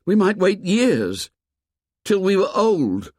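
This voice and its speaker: A man voicing a desperate, earnest schoolboy leader, pleading and shouting in a raised voice. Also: high voice, big pitch swings, takes it slowly, dry recording, clean studio sound, pitched above the performer's own voice, heavily acted. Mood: urgent, pleading. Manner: pleading, rising to angry shouts.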